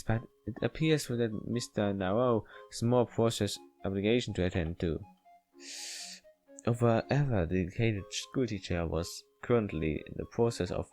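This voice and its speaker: Man, low voice, non-native English accent, halting read-aloud delivery, casual let's play host, faint music in the background. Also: darkish tone, poor audio. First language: not English. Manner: halting, stumbling reading. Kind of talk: reading a visual novel aloud with casual asides.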